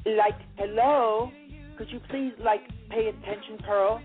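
A woman's voice: on the high side, fairly even intonation, unhurried, faint music behind, close to an everyday voice. A woman voicing a snobby, bossy young female student who drops "like" constantly, in an amateur radio play over a tinny phone line. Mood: condescending. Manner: valley-girl "like" filler, whiny.

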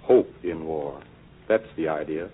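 A man's voice: rumbling low, measured pace. An American man playing an earnest, idealistic young songwriter in a 1940s radio drama, speaking with serious deliberation on a tinny old recording.

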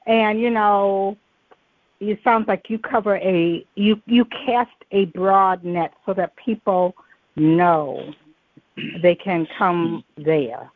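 A woman conducts a conversational interview over a thin, phone-like connection. The sound is dry and close, with no room around it. She talks in a reflective, rambling way, at a middling pitch. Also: steady tone, slow pace, no echo. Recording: muffled narrow phone-like line.

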